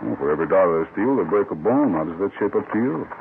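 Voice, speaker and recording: Fast, low-voiced, brusque police chief. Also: male voice, animated. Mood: grimly indignant. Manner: brusque, hard-boiled. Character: gruff, grim senior police chief of detectives. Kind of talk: 1950s radio police drama dialogue. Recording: noisy, muffled old radio recording.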